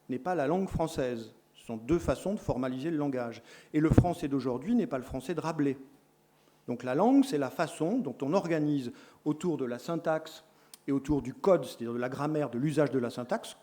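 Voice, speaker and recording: A middle-aged man lecturing teacher tutors, explaining points with lively emphasis and quick self-corrections. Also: on the high side. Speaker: lecturer in education sciences.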